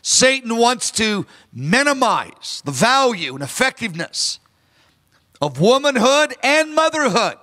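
A man's voice: very high-pitched, sing-song, a little slow, pushed and bright. A man in his fifties preaching a sermon on a large church stage into a handheld microphone, earnest and emphatic. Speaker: pastor. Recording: long reverb of a large hall.

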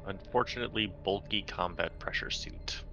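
A man's voice: deep voice, moderate pace, creaky. A man chatting casually as a player in a tabletop game. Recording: dull lo-fi mic, music behind.

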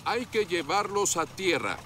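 An actor with a Latin American accent playing a steady, kindly firefighter in a cartoon dub, reassuring and practical. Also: male voice, sits high, unhurried, soft background music, full character voice. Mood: gently firm.

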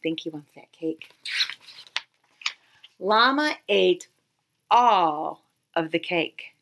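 A woman in her thirties reading a children's picture book aloud expressively, with dramatic pauses and emphasis. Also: lowish voice, expressive, gravelly, fairly bright tone, slight background noise. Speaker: read-aloud storyteller.

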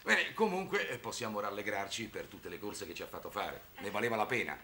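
Deep-voiced, kindly young priest. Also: male voice, animated, brisk, pushed and bright, slight background noise. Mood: amused, pleased. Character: kindly, gentle but firm young Italian priest. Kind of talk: 1960s television drama acting. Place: studio set with a group of children.

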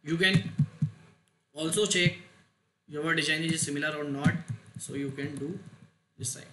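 Halting man in a noisy, echoey room. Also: a little high, fairly even intonation. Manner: halting, short clipped phrases, non-native phrasing. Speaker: tutorial instructor. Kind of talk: step-by-step software screen tutorial. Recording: roomy echo, loud noise, clicks.

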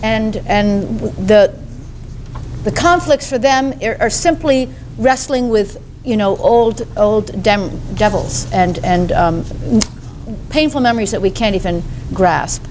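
A woman panelist at an academic conference, answering thoughtfully with halting restarts. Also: mid-range voice, darkish tone, slight background noise. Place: hotel conference room.

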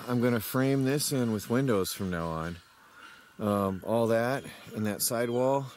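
A man with a fairly low voice talks his way around a yard and workshop, plain-spoken and rambling. He sounds worn out from the day's work, picked up by the camera's own mic. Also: slight background noise. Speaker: homestead DIY vlogger. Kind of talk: casual walk-through narration. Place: outdoors in a yard, then a workshop.

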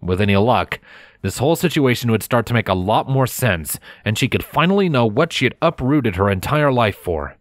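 A man narrating a scripted story in a measured, expressive storytelling manner, close to the microphone. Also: medium pitch, no echo.

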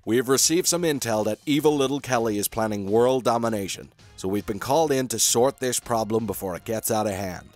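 A man's voice: mid-range voice, darkish tone, soft background music, natural voice. Man acting as a formal, grave secret-agent commander. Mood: serious, briefing. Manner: formal, deliberate, urgent. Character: grave covert-agency commander, adult male. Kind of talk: cartoonish game roleplay acting.

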